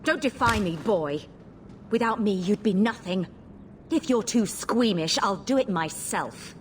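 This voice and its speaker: A woman playing a vengeful, domineering female mage in a video game, speaking with cold, deliberate scorn. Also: mid-range voice, animated, slight background noise, natural voice. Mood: scornful commanding. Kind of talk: dramatic video game acting.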